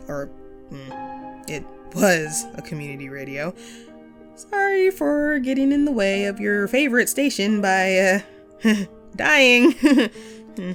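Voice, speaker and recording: A woman's voice: medium pitch, big pitch swings, takes it slowly, fairly bright tone, faint music behind, exaggerated voice. A woman playing a chatty, upbeat ghost of a radio host and ghost hunter in an audio roleplay, playful and musing in manner. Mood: excited, then musing, proud. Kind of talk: scripted one-sided audio roleplay, conversational.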